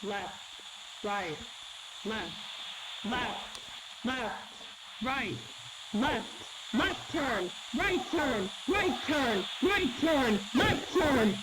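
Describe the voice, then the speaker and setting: A menacing phantom voice in a horror radio play. It chants in very slow, clipped repetitions, high and swinging in pitch, buried in loud radio noise. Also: female voice, lively intonation. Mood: eerie chanting. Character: menacing mysterious voice intruding on the radio, chanting directions. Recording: very noisy crackling radio transmission.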